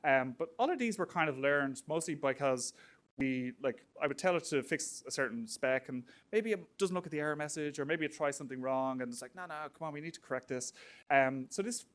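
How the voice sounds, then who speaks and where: A man's voice: a little high, animated, fairly quick, a bit bright. A man speaking at a tech meetup in an informal, conversational way, hesitating often and peppering his sentences with filler words. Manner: conversational, hesitant with frequent "like" and "you know". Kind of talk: informal technical conference talk.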